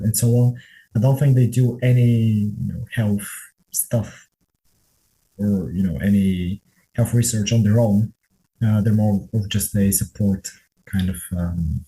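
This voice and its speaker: Male interview guest, late 20s, Bulgarian accent. Very soft-edged, relaxed timbre, self-effacing conversational delivery. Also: lowish voice, slight background noise, low-quality recording. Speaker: interview guest, software tester. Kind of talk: casual interview chat.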